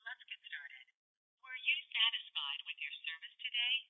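A woman reading scripted automated phone menu announcements in a formal, measured manner over a telephone line. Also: on the high side, expressive, sharp tone, breathy edge. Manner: formal, measured, courteous wording. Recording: dull telephone line audio.

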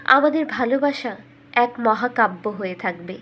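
Woman as young storybook princess, loving, poetic, gentle, fairly high voice. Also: animated, moderate pace, a little hiss or hum. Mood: tender, poetic. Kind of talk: cartoon bedtime storytelling.

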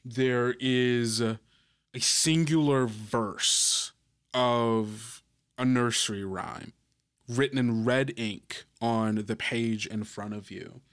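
Guy game mastering a tabletop rpg, medium pitch, improvising spooky suspense with stops and starts. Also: steady tone, slow pace, a bit bright.

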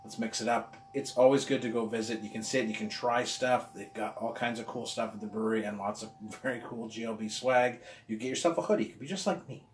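A man's voice: lowish voice, fairly even intonation, brisk, hardly any pauses, echoey room, a little hiss or hum, poor audio. Chatty middle-aged man reviewing beer. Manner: enthusiastic, chatty, talks with his hands. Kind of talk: casual beer review vlog. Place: room with walls of beer bottles, indoors.

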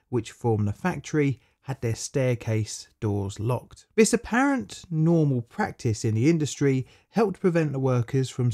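A man reading a scripted history documentary as a voice-over, in a measured, matter-of-fact storytelling manner that stresses key words. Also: medium pitch, a little mellow. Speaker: documentary narrator.

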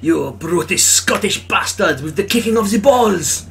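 A man plays a melodramatic Frenchman with a high voice and a French accent. He is polite and theatrically wounded as he chats over a game, with music behind him. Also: lively intonation, a little slow, gravelly, fairly bright tone, heavily acted. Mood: mock-wounded. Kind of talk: comic gameplay chat in character.

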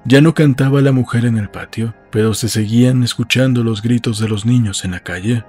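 A man's voice: medium pitch, a little fast, a little mellow, slightly rough, soft background music. A man reading scripted literary narration as the narrator of an audiobook.

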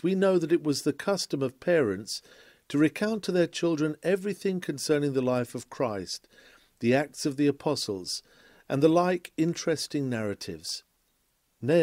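A man reading a sermon aloud from a script in a formal, oratorical, measured manner. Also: a little high, a little mellow, dry recording, studio quality. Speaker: reader of a historical sermon.

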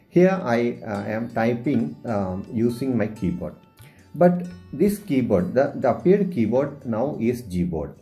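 Slow indian guy teaching, lots of uhs, soft guitar music playing under him. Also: fairly low pitch. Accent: Indian English. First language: Malayalam. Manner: hesitant, many filler sounds. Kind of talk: screen-recorded tutorial.

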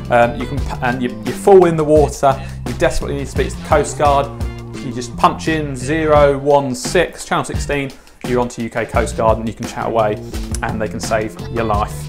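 A British man in his twenties with a chatty, informal, matter-of-fact way of talking, hosting a casual product unboxing and tutorial. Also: medium pitch. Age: 20s. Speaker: fishing channel host demonstrating gear. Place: home kitchen, indoors.

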